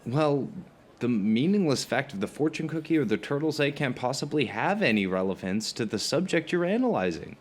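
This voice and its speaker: A man playing an earnest, wordy skeptic in an audio drama, arguing in a halting, stammering, emphatic way. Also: medium pitch, sing-song. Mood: reasoned, insistent. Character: earnest, skeptical, rationalist male dinner companion, wordy and flustered. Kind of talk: conversational audio drama acting.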